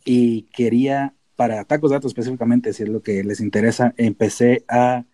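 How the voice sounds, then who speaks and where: A man's voice: medium pitch, warm tone. A man from Tijuana with a northern Mexican accent, talking casually as an interview guest and explaining things informally, with some English terms mixed in. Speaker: podcast guest, data analyst and researcher.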